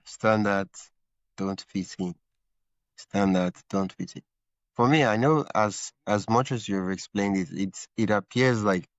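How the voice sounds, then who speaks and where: A man's voice: lowish voice, no echo, highs cut off. A man hosting a podcast interview, thinking aloud in long, rambling follow-up questions. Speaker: podcast host. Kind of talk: conversational interview.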